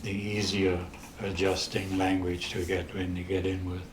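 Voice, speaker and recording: A very low-pitched, older man with a Dutch accent recounts his memories slowly, stopping and restarting often. His voice stays flat and even. The room around him is echoey, with faint ambience behind. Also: noisy background. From the Netherlands. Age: 80s. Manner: halting, with many restarts and pauses. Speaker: interview guest. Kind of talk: storytelling in a casual interview.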